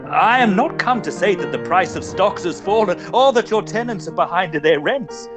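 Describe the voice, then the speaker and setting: An actor playing a scheming middle-aged gentleman suitor in radio melodrama, florid, obsequious and overwrought. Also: high voice, expressive, normal speed, over music. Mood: ingratiating. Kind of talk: old-style radio theatre melodrama acting.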